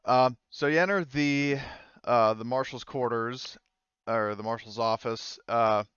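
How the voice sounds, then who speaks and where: A man narrating a tabletop game as game master in a casual, halting, improvised way, swearing now and then. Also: mid-range voice, a bit bright, clean tone, dry recording, highs cut off.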